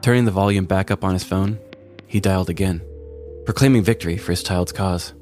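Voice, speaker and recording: A man's voice: sits low, fairly quick, a little mellow, faint music behind. A man reading a scripted comic story as a podcast storyteller.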